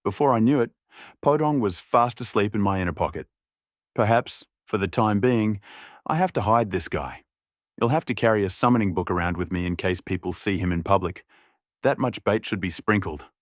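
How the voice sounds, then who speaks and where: A man's voice: fairly low pitch, normal speed, no echo, clean studio sound. A man reading a scripted story as an audiobook narrator.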